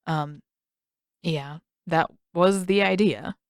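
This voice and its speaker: A woman voicing an awkward, earnest teenage boy in an audiobook, talking in a casual, halting, blunt way. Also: fairly low pitch, no room sound, studio quality. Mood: awkward, confused. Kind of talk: audiobook character dialogue.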